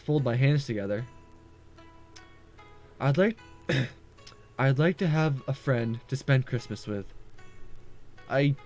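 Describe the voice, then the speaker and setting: A man's voice: medium pitch, a little mellow. A man reading a visual novel aloud as a gaming video host, with casual asides and the odd stumble over words. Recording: music behind the voice. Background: game background music.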